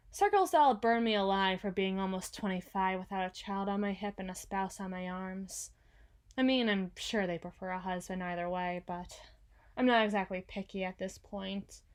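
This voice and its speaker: A woman playing a frazzled, proud young female CEO in an ASMR roleplay, halting and stammering as she corrects herself. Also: mid-range voice, clean tone, lightly acted.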